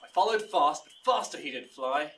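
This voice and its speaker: Proud young suitor, male actor, high animated voice, impassioned verse declamation, slightly off mic. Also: normal speed. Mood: frustrated weary. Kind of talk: Shakespearean audio drama. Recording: distant mic, faint background noise.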